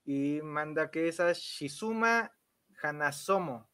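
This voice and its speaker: A man's voice: a little high, lively intonation, slow, fairly bright tone, clean tone, no echo. A man with a Mexican accent hosting a casual livestream and reading out comments. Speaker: livestream host, interviewer.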